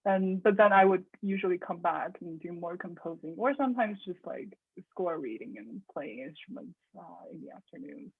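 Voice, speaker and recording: Young woman from China, composer guest, halting and chatty, husky tone over a phone-like line. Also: on the low side. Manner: halting, many restarts and soft laughs. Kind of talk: casual interview conversation.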